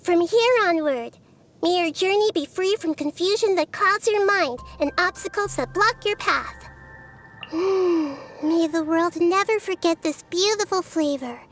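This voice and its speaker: A very high, girlish voice of a small goddess of wisdom from a video game. She speaks gently and thoughtfully, with earnest curiosity and whimsical wonder. Music plays faintly behind her. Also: measured pace. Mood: grateful, gentle. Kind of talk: scripted video game character voice lines.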